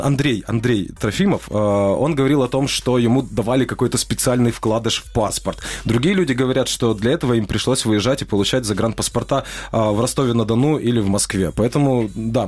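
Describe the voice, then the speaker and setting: A man's voice: medium pitch, a little mellow. A man co-hosting a live radio discussion, speaking in a pointed, questioning manner. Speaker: radio host.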